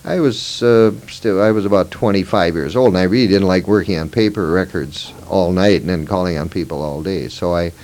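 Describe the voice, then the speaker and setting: Man, fifties, Minnesota-raised, low voice; interviewee telling his life story, rambling, self-correcting. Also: a little fast, hardly any pauses, a little mellow. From Moorhead, Minnesota. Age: 50s. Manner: reminiscing, meandering with fillers and self-corrections. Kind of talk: oral history interview.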